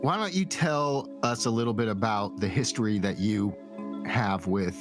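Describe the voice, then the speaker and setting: A man's voice: lowish voice, normal speed, music in the background. A man acting as game master, casually narrating and steering a tabletop roleplaying game.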